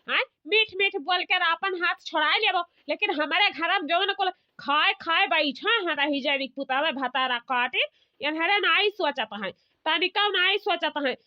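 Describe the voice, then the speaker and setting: Woman playing a shrill-high, scolding village matriarch. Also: moderate pace, dull audio. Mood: indignant. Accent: Awadhi. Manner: indignant, long-winded scolding. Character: scolding, aggrieved village matriarch, bossy and indignant. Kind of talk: village comedy cartoon dialogue.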